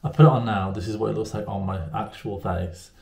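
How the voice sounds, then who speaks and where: A man's voice: fairly low pitch, steady tone, fairly quick, creaky, darkish tone. A man, chatting to camera at home in a rambling, self-mocking way. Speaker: vlogger. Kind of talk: casual talk to camera. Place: indoors at home, small room. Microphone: camera at arm's length. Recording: roomy echo.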